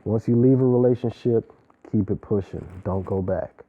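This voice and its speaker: A man's voice: fairly low pitch, moderate pace. A man giving a casual, opinionated recap of a reality show, talking in an emphatic, slangy way with preachy asides. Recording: tinny phone-like sound.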